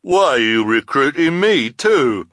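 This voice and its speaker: A man's voice: medium pitch, sing-song, unhurried, a bit bright, dry recording, studio quality, pitched above the performer's own voice. A man voicing an anxious, aggrieved villain's henchman with an indignant, pleading manner in an audiobook.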